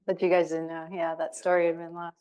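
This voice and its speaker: A woman's voice: lowish voice, monotone, brisk, warm tone. A middle-aged woman presenting at a library talk, chatty, laughing easily and trailing off with fillers. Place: public library event room.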